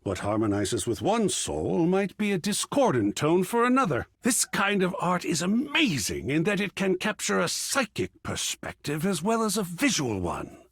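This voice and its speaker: A man's voice: high-pitched, huge pitch swings, normal speed, few pauses, a bit bright, slightly rough, no echo, clean studio sound, full character voice. An actor voicing an effusive, grandiloquent art connoisseur in a video game, savoring his words with dramatic flair. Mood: rapt, reverent. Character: effusive, theatrical art connoisseur, a living piece of artwork. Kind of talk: theatrical game character dialogue.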